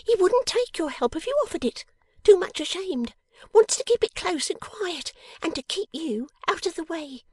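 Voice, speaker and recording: A woman voicing a sharp, impatient, bossy young dolls' dressmaker in an audiobook, with emphatic, scolding delivery. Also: very high voice, lively intonation, normal speed, dry recording, voice pushed up. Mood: irritated, scolding. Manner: emphatic, scolding, theatrical exclamations. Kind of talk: dramatised audiobook character reading.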